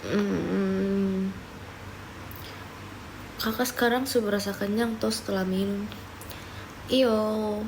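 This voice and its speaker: A woman's voice: mid-range voice, steady tone, slow pace, pauses often, noisy background. A young woman live streaming, chatting casually as she answers viewer comments, often slipping into English mid-sentence while eating and sipping a drink.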